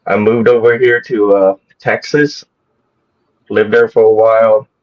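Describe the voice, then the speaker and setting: A man's voice: lowish voice, little pitch movement, a little slow. A man originally from Vietnam, a guest on a live show, telling a casual story in a rambling, hesitant way with many restarts. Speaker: guest kayak angler. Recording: muffled lo-fi audio.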